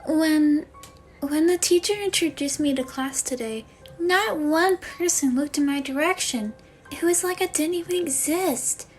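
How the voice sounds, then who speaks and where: A woman's voice: sits high, measured pace, darkish tone, faint music behind. A woman playing an anxious, lonely schoolgirl in a dramatic monologue, speaking in a hesitant, plaintive way. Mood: uneasy, upset. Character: anxious, lonely schoolgirl confiding in her mother. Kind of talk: dramatic monologue for a voice acting demo reel.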